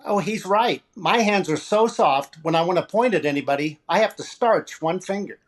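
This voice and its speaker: A man's voice: high-pitched, animated, normal speed. A man playing a flippant, heckling radio show host who tosses out one-liners in 1940s comedy style. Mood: flippant, wry.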